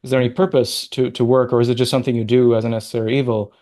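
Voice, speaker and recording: A man's voice: mid-range voice, flat delivery, racing, mellow. Thoughtful man on a video call. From Middle East (born in Qatar, raised in Oman). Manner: thoughtful, restarts and rambles while recalling. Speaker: guest, sociology professor. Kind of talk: conversational interview over video call.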